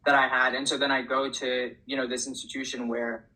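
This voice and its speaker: Young New man, giggly and bright. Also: mid-range voice, flat delivery, brisk, poor audio. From New York City. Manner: laughs often, easygoing, rambling. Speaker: guest. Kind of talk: casual chat.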